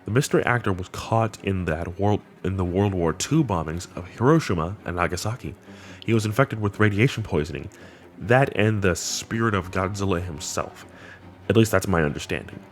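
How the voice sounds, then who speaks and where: A man's voice: low voice, lively intonation, normal speed. A man giving casual, enthusiastic commentary on a horror web series, with slangy wording. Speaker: video host. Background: background music.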